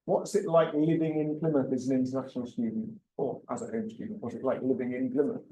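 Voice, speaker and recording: Mellow man moderating a panel. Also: medium pitch, steady tone, fast talker, low-quality recording. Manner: asks questions with "sort of" and short acknowledgements.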